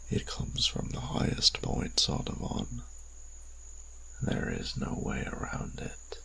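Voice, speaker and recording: A man playing a cold, stern vampire church enforcer in an audio drama, speaking in a grave, measured, formal way with a deep rasp. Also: background noise, natural voice. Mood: cold, solemn. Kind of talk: audio roleplay drama acting.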